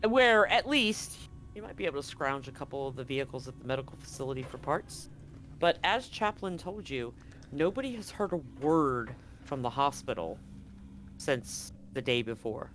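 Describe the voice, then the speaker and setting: A woman's voice: extremely low pitch, expressive, moderate pace, a bit bright. A woman narrating a tabletop game as game master in a casual, blunt, conversational way. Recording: noticeable background noise on live stream.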